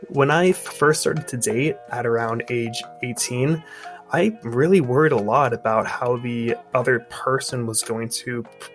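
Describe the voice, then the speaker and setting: Twenties male, stuttering speech with sound repetitions, soft guitar music underneath. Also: medium pitch, measured pace. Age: 20s. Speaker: speech-language pathologist and YouTuber who stutters. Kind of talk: personal recorded testimony.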